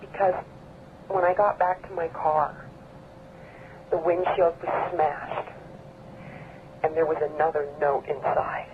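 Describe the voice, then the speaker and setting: An actress playing an anxious, reproachful young wife in a radio drama, emotional and scolding. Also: female voice, low-pitched, fairly even intonation, takes it slowly, pauses often. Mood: tense, controlled. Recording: tinny phone or radio link, noisy background.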